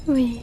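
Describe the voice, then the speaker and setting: A woman voicing an aloof, wealthy hypnotist in a whispered ASMR roleplay, speaking softly and teasingly into a close mic. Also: slight background noise. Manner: soft teasing whisper, unhurried.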